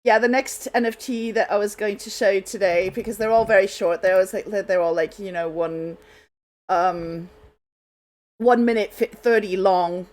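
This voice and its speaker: Woman, radio show host, casual unscripted chat, apologetic, scattered, halting delivery, mid-pitched voice.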